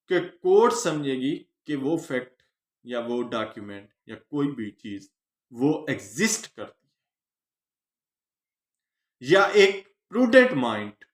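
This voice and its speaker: A man with a Pakistani accent giving an explanatory law lecture, teaching phrase by phrase with pauses. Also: mid-range voice, a bit bright. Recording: echoey room.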